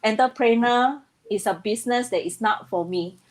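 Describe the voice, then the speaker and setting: A woman in her thirties from Indonesia, a guest speaking earnestly and hesitantly with many pauses and fillers. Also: a little high. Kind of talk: casual interview talk.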